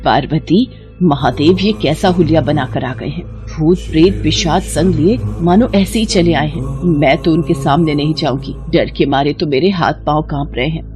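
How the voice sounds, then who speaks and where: A woman's voice: low-pitched, normal speed, natural voice. A woman voicing a frightened, indignant mother of a bride, fretful and alarmed, in a devotional story. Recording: prominent background music.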